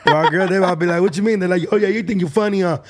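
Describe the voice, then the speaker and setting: A man's voice: high voice, very fast, a little hiss or hum. A man of twenty-two interviewing a guest, asking slangy questions and joking around. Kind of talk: casual interview chat.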